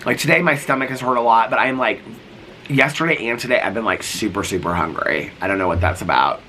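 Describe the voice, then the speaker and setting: A man's voice: lowish voice, quick pace, bright, forceful tone, a little hiss or hum. A middle-aged man vlogging casually while sick, with a cough and a voice that is going. He rambles, filling his talk with "like" and restarts, sitting outdoors. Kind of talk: casual vlog chat.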